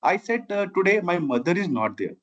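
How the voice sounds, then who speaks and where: A man's voice: high-pitched, sing-song, fairly quick, a bit bright. A middle-aged man from Bihar, a native Maithili speaker with an Indian accent, earnestly telling his own story as an interview guest. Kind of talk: conversational personal storytelling. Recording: dull, lo-fi audio.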